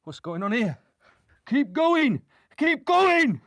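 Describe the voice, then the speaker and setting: An actor playing a plain-spoken, dutiful young British infantryman in an audio play, telling of the fighting in a halting, conversational way. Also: very high-pitched, sing-song, a bit bright, dry recording, studio quality, full character voice. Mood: urgent shouting. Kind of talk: dramatic monologue in an audio play.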